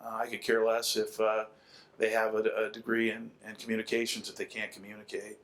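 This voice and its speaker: A man's voice: fairly low pitch, monotone, a little fast, fairly bright tone. A man, likely middle-aged, speaking as a panelist, making an extended and opinionated argument and repeating key phrases for emphasis.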